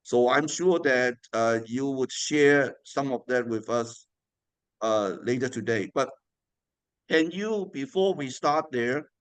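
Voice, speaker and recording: Guy hosting an interview, smooth clear voice, dry close sound, friendly and kinda rambly. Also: medium pitch, slow, no echo. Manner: friendly, rambling with many "you know" fillers. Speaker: interview host. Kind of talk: unscripted interview questions.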